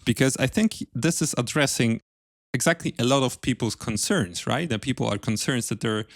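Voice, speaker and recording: A man's voice: mid-range voice, normal speed, gravelly, dry recording, clean studio sound. A man hosting a podcast in a casual, conversational way, offering encouraging and tentative suggestions. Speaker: podcast host. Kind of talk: casual interview chat.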